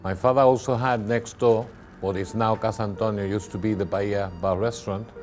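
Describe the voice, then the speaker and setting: A middle-aged man recalling his family business in a documentary interview, halting and repeating words as he goes. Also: lowish voice, steady tone, darkish tone, soft background music.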